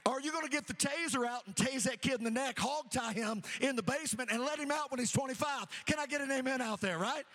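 A middle-aged man preaching an energetic sermon, repeating phrases rhythmically and calling on the congregation to answer. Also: very high voice, fast, few pauses, long reverb tail. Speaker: pastor. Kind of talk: energetic sermon with call-and-response.

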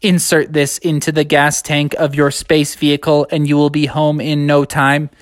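A man voicing a male Martian alien who speaks slowly and formally. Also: on the high side, little pitch movement, dry recording, clean studio sound, natural voice. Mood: solemn. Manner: slow, formal, measured.

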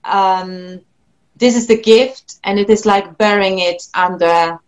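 Polish woman musician, talks slow and thoughtful, restarts a lot. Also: mid-range voice. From Poland. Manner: thoughtful, frequent restarts and soft laughs. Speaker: guest singer-songwriter. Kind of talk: reflective interview talk.

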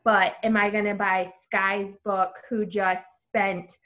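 A woman's voice: mid-range voice, little pitch movement, takes it slowly, fairly bright tone. A woman, a listener guesting on a book podcast, talking in a chatty way and acting out little quotes as she goes. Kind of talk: casual podcast chat.

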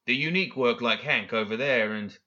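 A man's voice: mid-range voice, brisk, pushed and bright, highs cut off, close to an everyday voice. A man voicing a stern, frank and defiant man in an audiobook, terse and guarded in delivery. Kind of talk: audiobook character acting.

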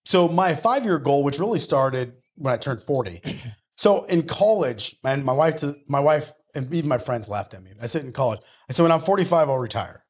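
A man's voice: medium pitch, lively intonation, moderate pace, slightly rough. A man in his early forties talking conversationally as an interview guest, earnest and motivational, often checking in with "right?". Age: early 40s. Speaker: podcast guest, mortgage lender and business owner.

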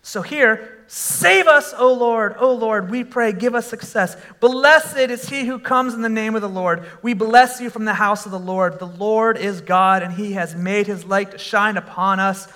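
A man preaching a sermon to a congregation, conversational with fillers, and breaking into animated shouts when he exclaims. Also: high-pitched, a little slow, hardly any pauses. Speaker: pastor.

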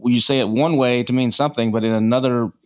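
A man hosts a podcast interview in an ordinary mid-pitched voice. He strings out long questions, rephrasing them several times before he finishes. His delivery is casual and conversational. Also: fairly even intonation, fast talker. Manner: asks long rambling questions, repeats himself. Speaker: podcast host.

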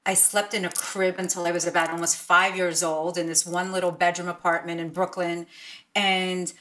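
A woman's voice: on the low side, level tone, normal speed, fairly bright tone. A woman from the United States interviewing a guest, earnest and encouraging. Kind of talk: interview conversation.

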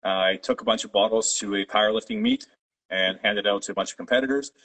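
Low husky-voiced man. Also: fast, poor audio. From Canada. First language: Polish. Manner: steady, detailed storytelling. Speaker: guest, company founder. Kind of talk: interview.

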